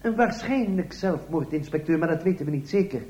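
A man's voice: on the high side, lively intonation, brisk, mellow, echoey room, muffled recording, lightly acted. A man playing an eager, dutiful police sergeant who reports deferentially in a comic radio play. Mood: eager, deadpan.

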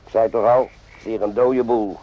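An actor playing a cynical, world-weary army sergeant in a radio drama, offhand and sarcastic, in short clipped phrases. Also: male voice, on the low side, steady tone, normal speed, no room sound, close to an everyday voice. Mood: cynical, teasing. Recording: muffled lo-fi old radio recording.

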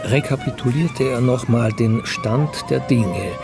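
A man's voice: medium pitch, unhurried, vocal fry, over loud music. A man reading scripted narration for a radio crime drama in a dry storytelling manner.

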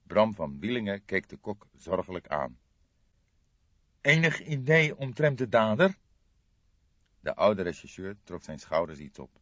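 Deep voiced guy reading a detective audiobook, very expressive, slightly muffled audio. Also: dry recording. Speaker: audiobook narrator. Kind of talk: dramatic scripted crime narration.

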